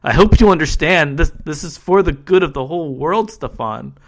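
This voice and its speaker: A treacherous friend in a horror tale who talks almost in a whisper, apologetic and unsettlingly calm, as a man puts him on. His voice is close to the storyteller's own, just a little higher. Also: moderate pace. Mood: eerie calm. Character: soft-spoken male betrayer friend, eerily calm.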